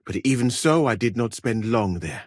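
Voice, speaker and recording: Learned medieval physician, lowish voice, slightly husky, dignified, formal, dry wit, video game dialogue. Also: male voice, lively intonation, no room sound. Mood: storytelling, composed. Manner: measured, polite, formal, articulate.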